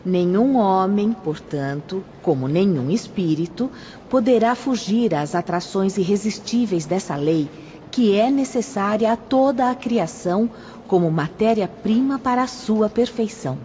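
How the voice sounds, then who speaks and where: An actress with a Brazilian accent playing a serene, devout spirit instructor in an audio drama, measured and didactic. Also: female voice, medium pitch, animated, dark tone, lo-fi sound, slight background noise, natural voice. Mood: solemn, reverent.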